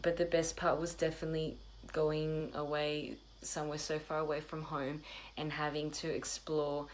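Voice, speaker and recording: Woman in her twenties, low and even, faint background hiss. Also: moderate pace. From Australia. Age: 20s. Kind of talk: recorded self-introduction.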